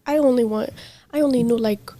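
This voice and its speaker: A young woman, a native Arabic or Kurdish speaker, talking casually as an interviewee, halting with many fillers and trailing softly. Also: fairly high pitch. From Middle East. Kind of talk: casual conversation. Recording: faint background noise.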